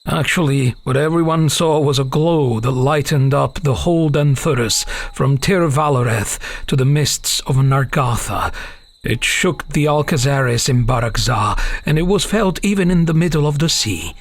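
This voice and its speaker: A man's voice: medium pitch, unhurried. A man playing an uneasy half-elven father who tells a dramatic tale to his young daughter in a fantasy audio drama. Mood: mysterious, grave. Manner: hesitant openings, dramatic storytelling.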